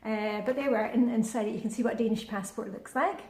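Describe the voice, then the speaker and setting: A woman's voice: on the high side, fast talker, darkish tone, a little hiss or hum. Chatty woman in her fifties, echoey room. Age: 50s. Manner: chatty, upbeat, with many fillers and restarts. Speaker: vlogger. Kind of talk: casual vlog chat. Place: indoors at home, with a short outdoor clip in the rain.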